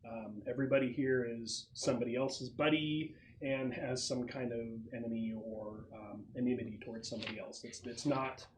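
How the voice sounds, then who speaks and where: A man acting as game master, explaining a tabletop roleplaying game to his players in a halting, explanatory way. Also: mid-range voice, fairly even intonation, rough recording. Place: room at a gaming table with friends. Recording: clear background noise with clicks.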